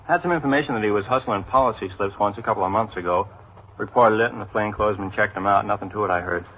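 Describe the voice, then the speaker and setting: A man's voice: fairly low pitch, fast talker, sharp tone. A man playing a respectful, eager American patrolman in an old radio drama, talking in plain colloquial street-cop phrases. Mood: dutiful. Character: respectful, eager patrolman reporting to his superiors. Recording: noisy vintage radio drama recording.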